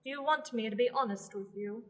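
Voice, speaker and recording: Girl voicing a blunt witch, talks down to her friends a bit, highish voice. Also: fairly quick, natural voice. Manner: bluntly honest, affectionate and condescending. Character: blunt, tactless young witch woman. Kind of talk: student radio drama acting.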